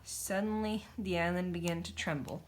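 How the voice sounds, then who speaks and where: A woman's voice: fairly low pitch, steady tone, moderate pace, a little hiss or hum. A woman reading a children's picture book aloud, narrating in a theatrical, emphatic way. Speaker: storybook reader. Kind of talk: dramatic children's story narration.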